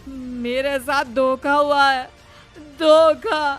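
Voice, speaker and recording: Greedy, indignant young groom for a cartoon moral tale played by a woman, high and whiny, over music. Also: steady tone, very slow. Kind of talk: cartoon moral story acting.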